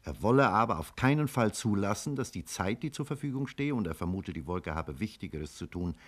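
A low-pitched man recounts grave events as a scientist looking back. His delivery is sober, formal and literary, in the manner of 1950s German radio drama. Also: big pitch swings, a little fast. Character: measured male scientist recounting a past catastrophe. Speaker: narrator.

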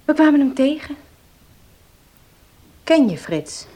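An actress playing a concerned, sincere young pastor's wife who asks probing questions in a radio play. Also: female voice, high-pitched, big pitch swings, takes it slowly, dark tone, heavily acted. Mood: polite, curious. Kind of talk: Dutch radio play acting.

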